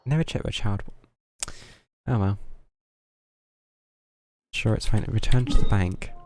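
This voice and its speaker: A man's voice: on the low side, slow, darkish tone, no echo. A man giving casual livestream game commentary in dry, sardonic asides. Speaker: game streamer. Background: game music.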